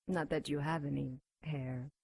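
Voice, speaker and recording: A woman's voice: sits low, steady tone, slow, gravelly, a little mellow, no echo, full character voice. An actress playing a captive female demon in an audio drama, seductive, sardonic and defiant, with a theatrical, taunting delivery. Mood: sultry, taunting.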